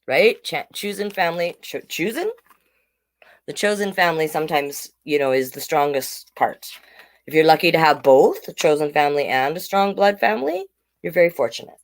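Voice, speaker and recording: A woman's voice: low voice, moderate pace. A woman hosting a children's storytime, reading a picture book aloud with chatty, simply worded asides to young listeners. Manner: simple, child-directed explaining with tag questions. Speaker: children's storytime host and reader.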